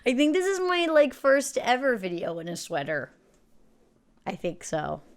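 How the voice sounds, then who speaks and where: A woman's voice: fairly high pitch, big pitch swings, unhurried, soft background music. A woman hosting a reaction video, chatting in a bubbly, rambling, playful way. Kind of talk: casual chat.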